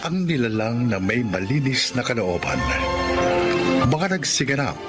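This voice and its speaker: A man in a radio drama who speaks in a measured, formal way and also reads the credits. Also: medium pitch, very animated, loud music behind the voice. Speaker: radio drama actor and announcer. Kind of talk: radio drama acting and announcing.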